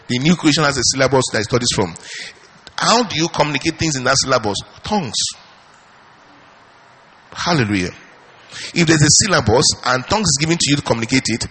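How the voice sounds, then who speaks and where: Male pastor, animated charismatic preacher, slightly high husky voice. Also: rough recording. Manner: animated preaching, rhetorical questions, frequent hallelujahs. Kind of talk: charismatic sermon.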